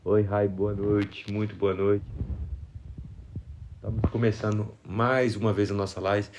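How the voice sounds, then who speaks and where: A man's voice: on the low side, little pitch movement, a little slow, background noise. A Brazilian man hosting a casual live stream, chatting and greeting viewers while congested with a cold.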